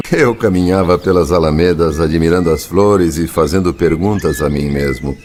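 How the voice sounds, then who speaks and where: An actor playing a kindly, mature male spirit who narrates a dramatised audiobook in a solemn, measured manner with deliberate emphasis. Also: sits low, darkish tone, a little hiss or hum, close to an everyday voice. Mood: friendly, earnest.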